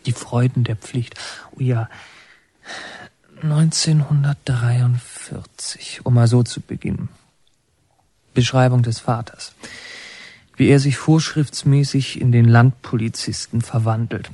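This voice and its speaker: An actor playing a brooding young inmate who narrates his memories in a radio play, halting, reflective and poetic. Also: mid-range voice, warm tone, breathy edge, no room sound.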